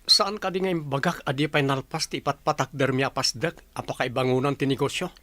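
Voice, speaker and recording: A man's voice: mid-range voice, fairly even intonation, fairly quick, sharp tone, no room sound, close to an everyday voice. An actor playing a stubborn young businessman in a radio drama, blunt and dismissive in manner. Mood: irritated, evasive.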